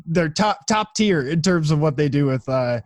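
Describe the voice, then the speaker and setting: A man's voice: a little high, lively intonation, normal speed, no echo. Male podcast host, dry close sound. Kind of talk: casual interview.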